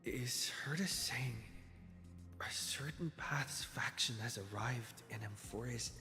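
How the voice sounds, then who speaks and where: An actor playing a weary young protagonist in a video game, in a hushed, breathy inner monologue. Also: medium pitch, slow pace. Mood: puzzled, thoughtful. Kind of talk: scripted game voice acting. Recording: echoing reverb under music.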